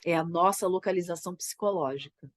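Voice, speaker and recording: A woman with a Brazilian Portuguese accent, a theater creator getting over a cold, talking about her work in a reflective, halting way and often tagging her sentences with "né?". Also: lowish voice, no room sound, clean studio sound. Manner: thoughtful, halting, frequent "né?" tags. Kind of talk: reflective conversation.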